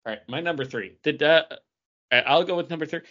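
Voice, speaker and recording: A man's voice: a little high, brisk, sharp tone. A man co-hosting a podcast, chatting casually and conversationally with friends. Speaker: podcast co-host. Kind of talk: casual chat among friends. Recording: dull, band-limited audio.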